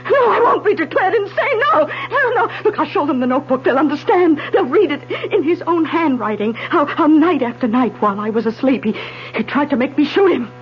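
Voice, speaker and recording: A stammering distraught widow. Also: female voice, high-pitched, expressive, slight background noise. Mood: tearful. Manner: halting, stammering, tearful. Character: distraught young widow named Helen. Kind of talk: 1940s radio acting. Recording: dull old radio broadcast with music.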